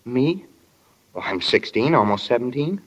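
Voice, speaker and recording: A man's voice: medium pitch, sing-song, normal speed, breathy edge. A man playing an eager, sheepish sixteen-year-old boy in a 1940s radio drama. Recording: dull, reverberant 1940 radio recording.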